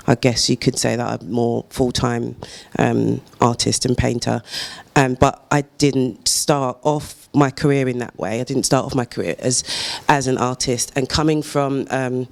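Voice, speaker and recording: A woman's voice: rumbling low, darkish tone, clean tone, no room sound. A woman artist speaking casually on a panel, chatty and self-deprecating, laughing often. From United Kingdom. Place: gallery discussion event.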